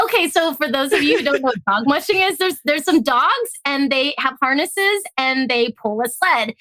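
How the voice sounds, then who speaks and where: A woman raised in Alaska and born in Hong Kong, a tea company founder chatting as a guest in an interview, lively and laughing often. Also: high-pitched, dry recording. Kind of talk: casual interview chat.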